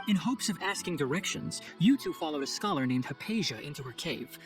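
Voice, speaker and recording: An actor playing a clever, exacting young male chief forest ranger in a video game, articulate and instructive with dry sarcasm. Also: on the high side. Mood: calm, explanatory. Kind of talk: video game cutscene acting. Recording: faint music behind the voice.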